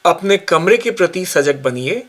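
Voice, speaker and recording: Male meditation guide, fairly high-pitched, very slow delivery, short instructional phrases with pauses. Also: animated. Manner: measured, instructional, pausing between short phrases. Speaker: yoga nidra instructor.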